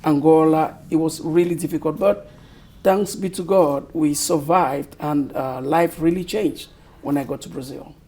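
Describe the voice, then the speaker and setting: Husky Cameroonian man, slow and thoughtful. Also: fairly high pitch, fairly even intonation, warm tone. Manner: slow, thoughtful, searching for words. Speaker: author guest. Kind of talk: interview answers.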